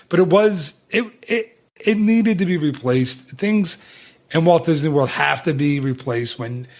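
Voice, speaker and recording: A man hosting a podcast in casual chat, talking in a chatty, rambling way with many restarts. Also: on the high side. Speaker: podcast host.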